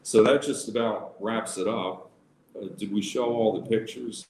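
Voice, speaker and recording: A man reading aloud as an informal presenter for a local historical society, in a somewhat halting manner. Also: on the low side, steady tone, darkish tone. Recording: roomy echo with faint background noise.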